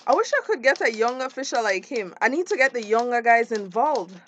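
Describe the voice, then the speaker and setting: A woman's voice: fairly high pitch, big pitch swings, fairly quick, fairly bright tone, highs cut off, slight background noise. A woman acting a friendly, eager young community project worker in a radio drama, upbeat and chatty with a Caribbean accent. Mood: upbeat, curious.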